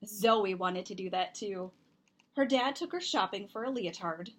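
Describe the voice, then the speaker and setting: A woman reading a children's picture book aloud, expressively and deliberately, with emphasized words. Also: medium pitch, a bit bright, slight background noise. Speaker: storybook reader and host.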